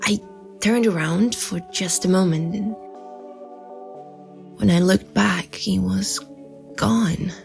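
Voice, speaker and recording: Actress as wistful female lead, bedtime audio drama. Unhurried, fry-edged, hesitant, soft music underneath. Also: medium pitch. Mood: hushed wonder. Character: wistful woman recalling a mysterious seaside memory. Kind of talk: intimate audio drama acting.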